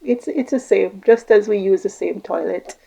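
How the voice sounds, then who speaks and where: A woman's voice: mid-range voice, normal speed, gravelly, dark tone, breathy edge, poor audio. A woman giving an informal explanatory talk, reflective and repeating phrases for emphasis. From Jamaica, Caribbean. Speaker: host giving communication tips.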